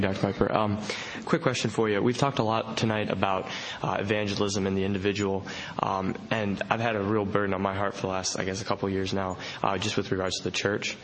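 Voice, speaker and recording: Low, flat-voiced hesitant questioner. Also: male voice, a little hiss or hum, low-quality recording. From Indonesia. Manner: hesitant, many fillers, apologetic. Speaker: audience member asking a question. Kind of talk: question from the floor.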